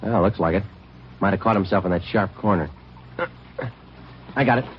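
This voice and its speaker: An actor playing a terse police detective who narrates a 1950s radio drama in a flat, matter-of-fact way. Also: male voice, deep voice, normal speed, slight background noise, lightly acted. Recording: muffled vintage radio broadcast with music.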